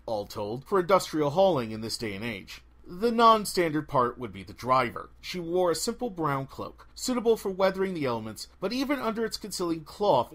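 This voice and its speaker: A man's voice: a little high, fairly bright tone. A man narrating scripted fiction as an audiobook reader, with a theatrical, wry delivery.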